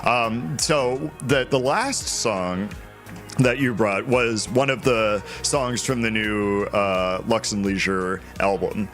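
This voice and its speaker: A man's voice: on the low side, slow pace, a bit bright, soft background music. An American man hosting a casual chat show, rambling with many fillers and laughing easily. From United States. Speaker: podcast host.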